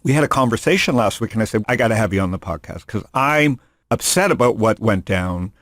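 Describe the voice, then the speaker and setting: A man's voice: lowish voice, animated, fairly quick, a bit bright, dry recording, studio quality. A man hosting a podcast in casual chat, rambling and joking, often restarting his sentences. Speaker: podcast host. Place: studio room.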